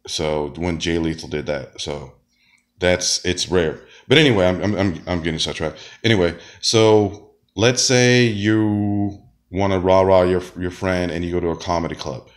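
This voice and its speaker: A man talks in a loose, rambling monologue, full of fillers and false starts. His voice sits very low because he is sick. Now and then he coughs between phrases. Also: moderate pace. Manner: rambling, many fillers and restarts. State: sick, deep voice. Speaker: wrestler and comedian. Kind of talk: casual monologue.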